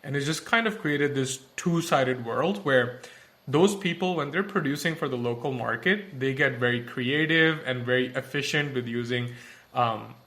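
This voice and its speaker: A man's voice: mid-range voice, moderate pace, pushed and bright. A young man from Pakistan, a tech company founder, answering podcast interview questions thoughtfully with many fillers and restarts.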